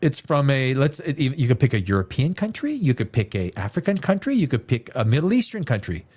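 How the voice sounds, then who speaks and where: A man's voice: medium pitch, sing-song, brisk, a little mellow. A man hosting a livestream chat, blunt and profane, hammering his points with repetition. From Canada. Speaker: livestream host.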